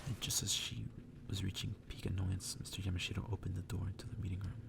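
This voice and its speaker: A man reading a story aloud in a hushed, breathy ASMR whisper. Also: flat delivery, fast, background noise. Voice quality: breathy whisper, gravelly low voice. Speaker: ASMR reader.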